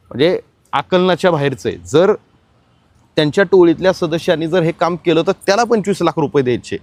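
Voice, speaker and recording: A man's voice: on the high side, darkish tone, no echo. A man presenting explanatory crime news commentary, emphatic and speaking straight to the audience.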